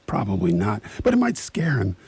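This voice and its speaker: A man playing a nervous, vain, fussy schemer in a radio play, with a raspy breathy voice and a dithering, dramatic manner. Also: lowish voice, wildly swooping pitch, moderate pace, a little mellow, no echo, exaggerated voice. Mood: anxious scheming. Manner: dithering, dramatic self-questioning, dropping into whispers. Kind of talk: radio theatre acting.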